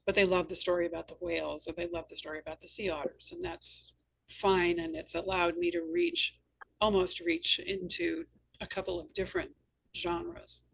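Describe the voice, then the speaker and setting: Low-pitched woman hosting a literary discussion. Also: animated, moderate pace, gravelly, a bit bright, husky, poor audio. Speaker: host and novelist.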